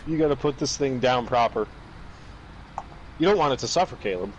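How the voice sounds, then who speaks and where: A man's voice: medium pitch, lively intonation, a little fast, rough recording. A young man chatting casually and telling stories in a crude, sweary way, acting out the dialogue as he goes. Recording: vehicle and road noise.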